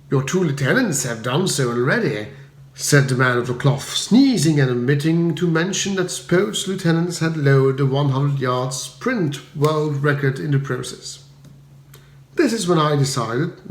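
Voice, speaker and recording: A man's voice: on the high side, echoey room. A man reading a comic story aloud as a scripted, dramatic audiobook narration. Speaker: audiobook reader.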